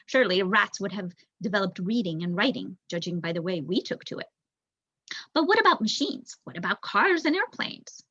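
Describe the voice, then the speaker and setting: A young woman voicing a thoughtful, bookish male rat elder telling a storybook history in a measured, reflective way. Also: mid-range voice, sing-song, bright, forceful tone, breathy edge, no room sound, heavily acted. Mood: pondering. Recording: dull lo-fi recording.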